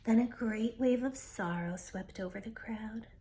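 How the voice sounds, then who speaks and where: A woman reading a children's picture book aloud with expressive, dramatic storytelling delivery. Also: on the high side, unhurried, slight background noise.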